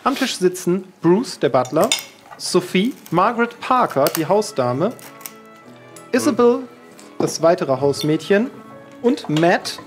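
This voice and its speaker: A man's voice: high-pitched, sing-song, slow pace, soft background music. A man narrating a tabletop role-playing game as its game master.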